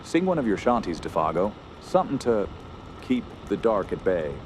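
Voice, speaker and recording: An actor playing an earnest, fearful gentleman camper who tells a horror tale in solemn, literary radio drama style. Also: on the low side, big pitch swings, normal speed, background noise. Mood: concerned. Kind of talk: radio drama narration and dialogue.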